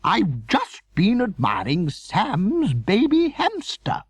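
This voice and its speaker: Man putting on a shrill, swooping, gushing woman. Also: wildly swooping pitch, slow pace, breathy edge, no room sound, heavily acted. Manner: effusive, exclaiming, swooping. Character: gushing, short-sighted, excitable woman visitor.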